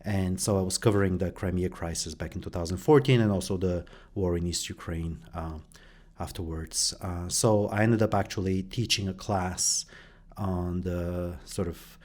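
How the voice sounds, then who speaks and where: Low-voiced man, hesitant interview answers. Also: steady tone, moderate pace, darkish tone. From Bulgaria. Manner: hesitant, many fillers and restarts. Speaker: guest, journalist and professor.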